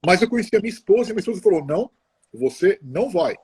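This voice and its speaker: Middle-aged guy, slightly high warm voice, rambles and restarts a lot, video call. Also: big pitch swings, husky. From Brazil. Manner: chatty, halting, many restarts and tag questions. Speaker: interview guest. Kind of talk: casual conversational interview.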